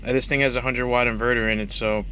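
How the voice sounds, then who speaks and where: A man's voice: medium pitch, little pitch movement, fast, sharp tone, rough recording. A man talking casually and unscripted as he shows off his gear, with a hesitant, rambling, self-correcting manner. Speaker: truck driver and CB radio hobbyist showing his gear. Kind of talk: casual unscripted show-and-tell to camera. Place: inside the cab of a semi truck. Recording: faint vehicle cab noise behind voice.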